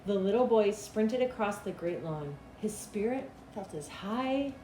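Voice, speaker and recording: A middle-aged woman reading a children's storybook aloud in an expressive, deliberate storytelling manner. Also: medium pitch. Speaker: school principal reading aloud. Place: small cinderblock school room, indoors. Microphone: camera a couple of meters away. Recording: echoey room with clear background noise.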